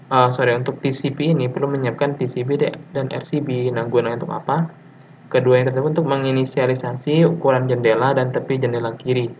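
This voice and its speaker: A man gives an informal lecture presentation over slides, explaining technical material and often pausing mid-sentence. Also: medium pitch. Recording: dull lo-fi audio with faint noise.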